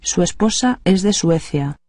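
A voice reading short phrases as prompts in an even, neutral drill delivery. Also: medium pitch, measured pace, no room sound, clean studio sound. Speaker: Spanish phrase reader. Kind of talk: read-aloud language drill.

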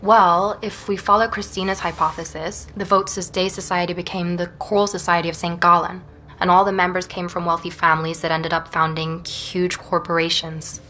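An actress playing an earnest, determined young woman investigator in an audio drama, speaking in a measured, reflective way. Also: on the low side, little pitch movement, bright, forceful tone, slight background noise. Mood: thoughtful, weary. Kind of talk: audio drama, conversation and first-person narration.

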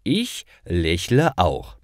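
A man reading scripted stories for language learners, with deliberate, careful phrasing. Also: sits low, huge pitch swings, no room sound, clean studio sound.

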